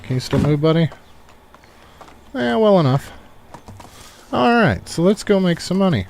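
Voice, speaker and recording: A man giving relaxed, rambling live commentary on a game he is playing, thinking aloud as he goes, while recovering from a cold. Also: sits high, unhurried, creaky, a little hiss or hum. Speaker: game streamer. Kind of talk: casual live gameplay commentary.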